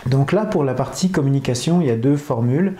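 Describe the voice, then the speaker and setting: A man presenting a dating agency and explaining its process to viewers, pausing often with fillers. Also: mid-range voice, expressive, mellow, echoey room. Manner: hesitant, many fillers.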